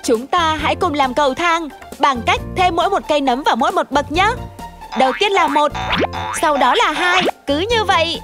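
A woman's voice: high-pitched, normal speed, over music, natural voice. A woman voicing a small, cute magical helper creature in a children's cartoon, earnest and exclamatory. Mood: cheerful, instructive. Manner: bright, exclamatory.

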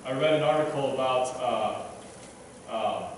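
Man, thirties, preacher, relaxed sermon, restarts and fillers, distant mic, echoey sanctuary, room hum. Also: medium pitch, slow. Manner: conversational, many restarts and fillers, self-deprecating. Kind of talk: casual sermon. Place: church sanctuary, at a pulpit. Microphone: pulpit microphone, filmed from a distant camera. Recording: echoey church from far off.

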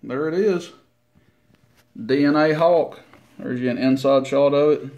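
A man giving a casual, unhurried hands-on demonstration in a folksy, informal way. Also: on the high side, darkish tone, muffled recording. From Tennessee, United States. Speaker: CB radio hobbyist presenting his equipment. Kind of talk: casual hands-on demo narration. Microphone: handheld camera mic, operator behind it.

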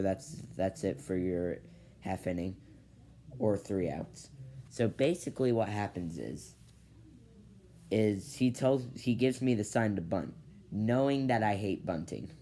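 A man's voice: lowish voice, dark tone, a little hiss or hum. A teenage boy telling a story casually to his camera in his bedroom, rambling and restarting with lots of "basically". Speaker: vlogger. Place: bedroom with ceiling fan, indoors. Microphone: device camera at low angle.

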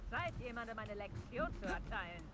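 A woman's voice: fairly high pitch, expressive, fairly quick, natural voice. A woman playing a haughty, menacing enemy fighter in a video game, speaking in taunts. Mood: taunting. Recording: noisy game sound behind voice.

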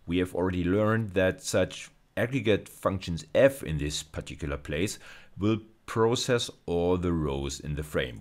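A middle-aged man speaks in a low register. He lectures like a university teacher on video, explaining step by step. His phrasing is measured and emphatic, punctuated by brief checking tags. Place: indoors, recorded lecture over slides.

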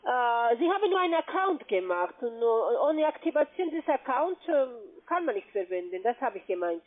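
A woman with non-native German speaks as a call-centre agent. She pushes insistently through her pitch with broken grammar. The line is muffled and narrow, like a cheap phone connection. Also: sing-song, normal speed. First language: not German. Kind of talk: cold-call sales pitch. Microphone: telephone.

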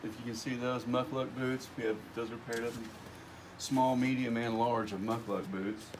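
A man's voice: mid-range voice, fairly even intonation, moderate pace. A middle-aged man walking through a large warehouse, casually listing stock item by item, often repeating himself, recorded on a nearby phone camera. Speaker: warehouse tour guide. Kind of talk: walkthrough listing inventory. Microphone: handheld phone camera nearby. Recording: noisy warehouse phone video.

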